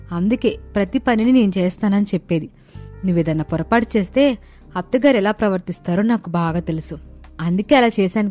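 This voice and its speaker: A woman's voice: mid-range voice, dark tone, lightly acted. A woman acting the earnest women of a household in a dramatized family moral story. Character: earnest young Indian daughter-in-law and her scolding household women. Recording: music behind the voice.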